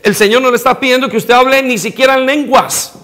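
High-voiced Colombian pastor preaching. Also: male voice, steady tone, fast talker. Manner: preaching, rising to near shouts, direct questions to listeners. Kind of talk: sermon. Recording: long hall reverb.

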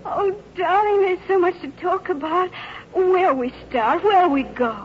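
A devoted young sweetheart in an old-time radio drama. Her voice is high, emotional and tender. It comes through a muffled recording with plenty of noise. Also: unhurried. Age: young adult. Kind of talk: 1940s radio drama acting.

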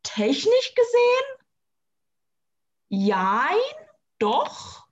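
A teenage woman talking from her apartment in a casual chat, with dry, deadpan humor. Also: high voice, extremely slow, dull audio. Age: teens.

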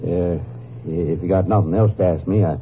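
An actor playing a shifty, defiant small-time crook with hesitant, folksy speech in a 1950s radio drama. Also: male voice, low-pitched, brisk, natural voice. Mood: evasive, uneasy. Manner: hesitant, folksy uneducated grammar. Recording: dull noisy vintage radio recording.

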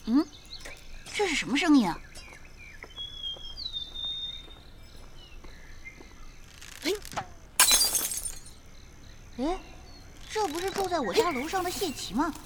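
A woman's voice: very high-pitched, sing-song, moderate pace, pauses often. A woman voicing a timid but indignant little schoolboy in an audio drama, muttering hesitantly to himself. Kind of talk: Chinese audio drama acting. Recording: reverberant, noisy with clicks and crickets.

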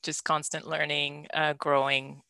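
A woman's voice: low-pitched, level tone, unhurried, gravelly, bright, forceful tone, clear, resonant tone, no room sound. A woman from Belgium hosting a podcast and talking candidly about her love life, laughing often and restarting her sentences. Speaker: podcast host. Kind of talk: candid personal conversation.

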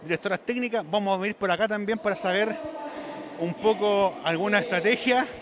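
A Chilean man doing live commentary and short interviews as a field reporter, improvising in a halting, repetitive way. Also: high-pitched, crowd noise. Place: live at a sports venue. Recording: clear urban background noise.

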